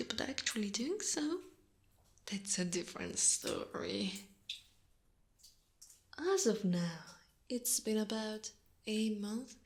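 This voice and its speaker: A woman whose first language is Italian, playing a seductive, predatory spider monster in an intimate ASMR roleplay, with breathy near-whispers and drawn-out, amused, condescending words. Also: a little high. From Italy.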